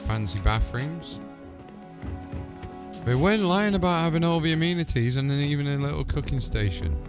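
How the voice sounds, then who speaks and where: A man's voice: on the high side, animated, normal speed, music in the background. A man giving casual, matey, off-the-cuff commentary as he livestreams a video game.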